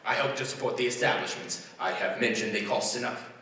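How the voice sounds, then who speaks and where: A man's voice: mid-range voice, brisk, bright, forceful tone, natural voice. An actor playing a grumpy, miserly older businessman with a scornful, curt, blustering manner, in a 1940s radio drama style. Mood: cold, dismissive. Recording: roomy echoing stage, distant mic, faint noise.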